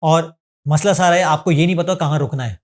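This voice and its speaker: A man's voice: fairly high pitch, fairly quick. A man, a gym trainer giving earnest fitness advice in a podcast, mixing in English phrases. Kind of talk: podcast interview answers.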